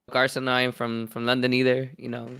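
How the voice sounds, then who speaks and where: Bright-voiced Southern California man, blunt and slangy. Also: mid-range voice, completely flat delivery, fast, gravelly, clean tone, no echo, clean studio sound. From Carson, Los Angeles area, USA. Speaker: sports show co-host. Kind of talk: casual fan banter about soccer.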